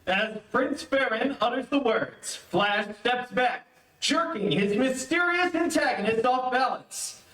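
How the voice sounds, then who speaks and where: A man's voice: extremely high pitch, a little slow, a bit bright. A man reading scripted narration for an old-time radio adventure serial. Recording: very echoey, distant microphone.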